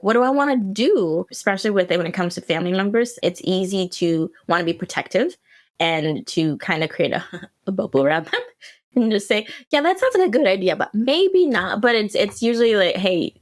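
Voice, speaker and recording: A young woman from South Sudan, talking casually as a guest, quick and full of restarts. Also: medium pitch. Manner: quick, with frequent restarts and laughs. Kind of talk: casual interview answer.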